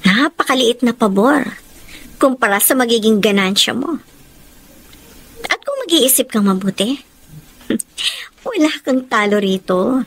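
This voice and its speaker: Casting: condescending, manipulative Filipino temptress, radio drama, lively melodic delivery, higher register. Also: female voice, a little slow, pauses often, breathy edge, full character voice. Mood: silky, calculating. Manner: coaxing, condescending. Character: scheming, silky woman who controls a married man.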